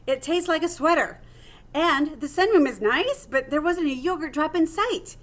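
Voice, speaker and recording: A woman plays a little male hamster diarist from a children's storybook. The voice sits fairly high. He is plucky and dramatic, telling his tale with earnest, theatrical expression. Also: expressive, moderate pace, a bit bright. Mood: indignant, nervous. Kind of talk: storybook read-aloud in first person.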